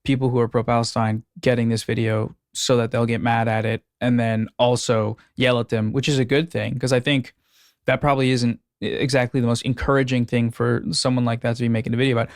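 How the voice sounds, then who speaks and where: A man's voice: medium pitch, flat delivery, brisk, dry recording. Guy guesting on a podcast, laid back, dry close sound. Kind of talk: casual podcast chat.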